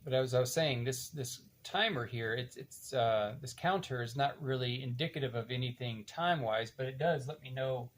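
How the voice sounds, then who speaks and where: An older man giving a casual, unhurried hands-on demonstration, halting now and then as he thinks aloud while working. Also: medium pitch, a little hiss or hum. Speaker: demonstrator of reel-to-reel tape machines.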